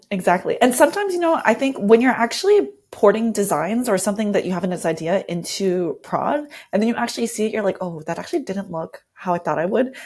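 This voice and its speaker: A woman's voice: medium pitch, darkish tone, husky. A woman speaking as an interview guest, enthusiastically explaining how she works as a design lead in a conversational way. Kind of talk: conversational explanation of work process.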